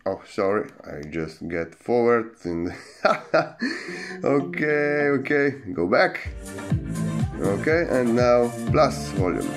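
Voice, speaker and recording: A man presents a product demonstration in a casual way, with simple non-native English phrasing. His mid-pitched voice moves up and down in a lively way, and music plays in the background. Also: slow pace, pauses often. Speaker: gadget reviewer.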